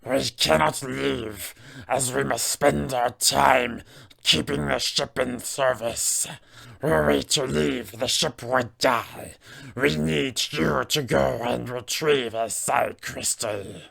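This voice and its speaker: A man voicing a cold little alien gnome mind flayer for a tabletop game, speaking in formal, stilted, ominous phrases with a gravelly creak. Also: medium pitch, fairly bright tone, full character voice. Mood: grave, measured. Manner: slow, stilted, formal speech. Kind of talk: game villain roleplay monologue.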